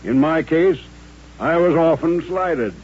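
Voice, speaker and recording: An actor playing a composed, courtly Southern gentleman and former colonel, speaking formally and politely in an old-time radio western. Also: fairly high pitch, animated, takes it slowly, a little hiss or hum. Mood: proud, composed. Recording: dull old radio recording.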